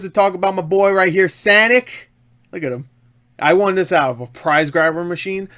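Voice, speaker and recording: A man with a fairly high voice talks to camera as an online movie reviewer. He is enthusiastic and jokey, rambling casually and breaking off into small asides as he goes. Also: fairly bright tone. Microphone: webcam setup.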